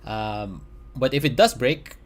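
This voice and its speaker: A man casually talking through market charts, with lots of fillers and restarts, recorded at home. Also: mid-range voice. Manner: halting, many fillers and restarts; chuckles at own remarks. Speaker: crypto market analyst. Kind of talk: casual chart analysis commentary.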